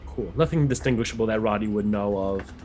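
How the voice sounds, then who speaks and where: A man's voice: on the low side, a little fast, darkish tone, a little hiss or hum. A man playing a tabletop game, asking short, curious questions. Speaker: player. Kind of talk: casual play at a tabletop game.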